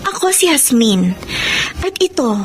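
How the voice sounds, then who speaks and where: Very high-pitched earnest young girl. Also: sing-song, unhurried, over music, rough recording. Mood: tender, contented. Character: earnest young granddaughter, tearful kid sister. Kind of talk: Filipino radio drama acting.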